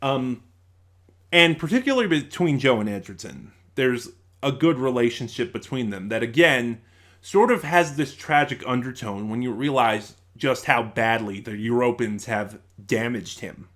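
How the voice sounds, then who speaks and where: A man talks through a casual review in a medium-pitched voice. He speaks off the cuff, rambling a little and thinking aloud, often doubling back to correct or refine what he is saying. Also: lively intonation, normal speed, a bit bright. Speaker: YouTube audio drama reviewer.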